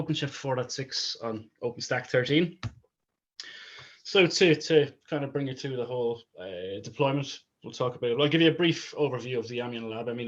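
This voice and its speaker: A man giving an informal technical presentation on a video call, often restarting his phrases as he goes. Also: mid-range voice. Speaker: technical presenter, DevOps consultant. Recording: dull lo-fi video call audio.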